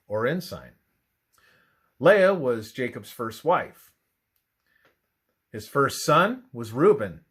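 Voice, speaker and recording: A man reading a scripted religious narration aloud in a deliberate way, halting between short phrases. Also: medium pitch, a bit bright, husky. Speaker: reader of a religious presentation.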